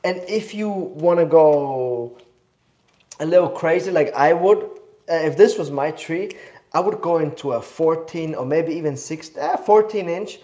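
A man's voice: fairly high pitch, unhurried, mellow, reverberant. A young man from Switzerland with a slight cough, eagerly teaching in a live studio demo, rambling and correcting himself. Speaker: bonsai demo host. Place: indoor studio.

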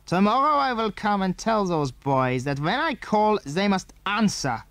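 A man's voice: sits high, sing-song, unhurried, fairly bright tone, no room sound, pitched above the performer's own voice, exaggerated voice. A man voicing an angry, suspicious foreign enemy in a children's audiobook, barking demands in stilted English. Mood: angry, commanding.

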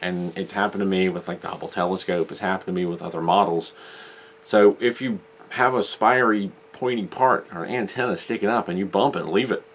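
A man's voice: deep voice, brisk, a bit bright. A man, chatting to camera in a small room as he reviews a model kit and explains each step. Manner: chatty, explains step by step, gestures while talking. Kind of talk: casual review vlog to camera. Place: small indoor room with model-filled shelves.

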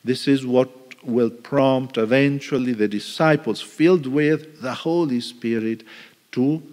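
Slow-speaking priest in a reverberant church. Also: male voice, mid-range voice, a little mellow. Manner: slow, reflective, with pauses and restarts. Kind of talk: homily. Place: large church. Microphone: stand mic at lectern.

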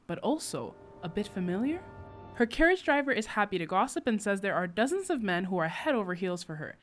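Young woman, book recap narration, wry and sarcastic, animated pitch.

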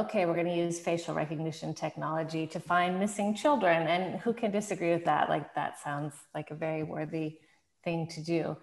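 Moderator: woman, deep and gravelly voice, hesitant and searching, echoing room. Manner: hesitant, searching, many fillers and restarts. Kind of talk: thoughtful questioning on a video call. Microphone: video call. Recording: roomy video-call audio.

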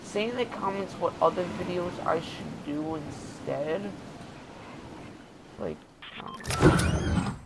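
A gamer with a stuffy, congested voice giving casual, halting commentary over gameplay. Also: mid-range voice, animated, very noisy. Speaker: gaming streamer.

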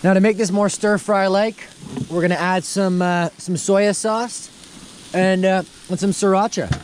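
A young man vlogging casually while he cooks outdoors beside his truck, upbeat and chatty, laughing often and talking to his viewers, recorded on the camera mic. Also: a little high. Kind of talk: casual vlog while cooking. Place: outdoors in a snowy parking lot by a pickup truck. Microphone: camera mic, handheld and head-mounted point of view. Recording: noisy outdoor camera audio.

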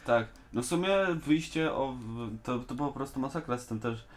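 A man's voice: medium pitch, fairly quick. A man in a casual fan discussion, speaking colloquially with some slang. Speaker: host of a fan discussion show. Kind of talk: casual chat reviewing an animated series.

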